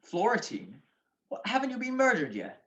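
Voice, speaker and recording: An actor playing a grumpy, wisecracking married gentleman sleuth with sardonic wit in a radio comedy-mystery. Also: extremely high pitch, wildly swooping pitch, normal speed, highs cut off, heavily acted. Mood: grouchy, sleepy.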